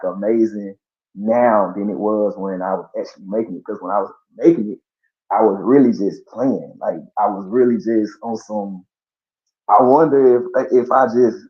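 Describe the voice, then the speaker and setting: A man, speaking low and easy as he tells stories in a casual podcast interview. His talk is loose, full of slang and fillers. The audio is dull and lo-fi. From Columbus, Georgia. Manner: laid-back storytelling with slang and fillers. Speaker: guest artist.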